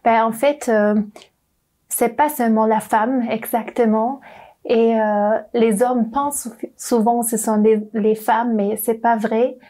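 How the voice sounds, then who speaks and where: A woman's voice: on the high side, steady tone, slow pace, dark tone. A young woman doctor speaking as an interview guest in a language that is not her first, hesitant and often searching for words. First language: not French. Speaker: doctor at a clinic.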